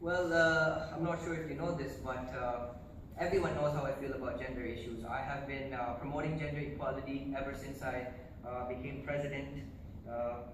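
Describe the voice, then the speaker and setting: A man's voice: medium pitch, steady tone, moderate pace, lightly acted. A young man playing a pompous, boastful prime minister in an amateur school video skit, hesitant and full of uh's. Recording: far-off echoey room with noise.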